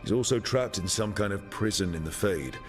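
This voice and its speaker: Man acting a low-voiced, plain-spoken hero. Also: expressive, brisk, soft background music, natural voice. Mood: matter-of-fact. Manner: matter-of-fact. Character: level-headed, plain-spoken male fantasy hero. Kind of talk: fantasy game acting.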